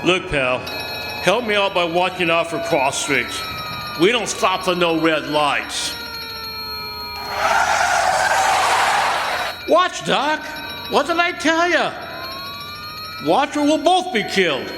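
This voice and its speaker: An actor playing a gruff, cynical veteran ambulance driver in a radio drama, brash, slangy and impatient. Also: male voice, high voice, sing-song, unhurried. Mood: impatient, bossy. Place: live stage performance in a hall. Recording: roomy echoey live hall, noisy, clicks.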